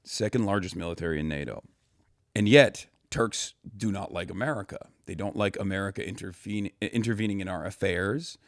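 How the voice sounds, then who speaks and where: A man from Turkey, a guest in a casual conversation, talking in a rapid, opinionated, sometimes profane way and laughing often. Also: sits low, no echo, clean studio sound.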